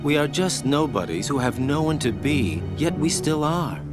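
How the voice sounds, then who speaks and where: A man's voice: a little high, sing-song, moderate pace. A man playing a cocky, sly assassin in a video game, with a flippant, teasing manner. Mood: mocking menace. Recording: loud music behind the voice.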